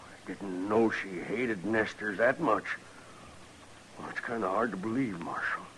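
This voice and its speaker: An actor playing an evasive frontier rancher in a 1950s radio western, American, with a halting, folksy, defensive way of talking. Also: male voice, on the low side, fairly bright tone. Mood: feigned dismay. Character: evasive, plain-spoken frontier rancher feigning innocence. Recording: noisy old radio recording.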